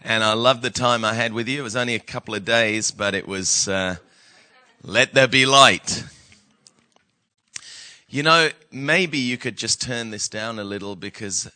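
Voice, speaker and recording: Booming man, bright and clear. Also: a little slow, no echo. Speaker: guest pastor. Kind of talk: church talk.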